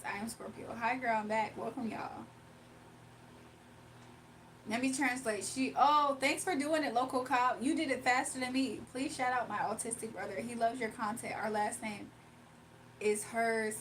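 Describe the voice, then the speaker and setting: A woman's voice: on the high side, fairly bright tone, slight background noise, low-quality recording. A woman chatting casually on a live stream from her phone, reading viewer comments aloud and laughing often. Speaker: livestreamer.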